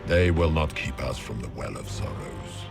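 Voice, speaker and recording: A man voicing a monstrous, grandiose would-be god, a menacing fantasy game villain with a gravelly, pompous delivery. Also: rumbling low, expressive, normal speed, loud music behind the voice, full character voice. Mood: imperious menace. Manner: pompous, imperious.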